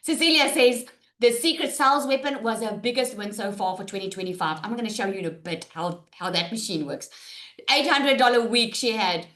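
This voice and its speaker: Chatty woman hosting a webinar on a video call, fairly high voice, keeps calling everyone guys. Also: fairly quick, fairly bright tone. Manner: upbeat, addresses viewers as guys. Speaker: webinar host.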